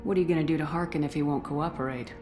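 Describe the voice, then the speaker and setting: A woman playing a steady, principled military commander in a video game, firm and questioning in manner. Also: sits low, rapid-fire, lightly acted. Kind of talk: video game character acting. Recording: music behind the voice.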